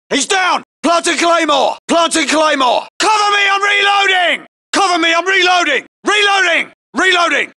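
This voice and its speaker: An actor playing a tough British SAS soldier in a military shooter game, yelling urgent, clipped battle callouts. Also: male voice, very high-pitched, exaggerated voice.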